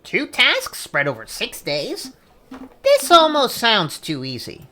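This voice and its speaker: Man doing a bright, high, cheeky little sparrow sidekick. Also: huge pitch swings, slow pace, full character voice. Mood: eager, curious. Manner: brash, wisecracking. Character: cheeky, flippant, small male sparrow assistant sidekick.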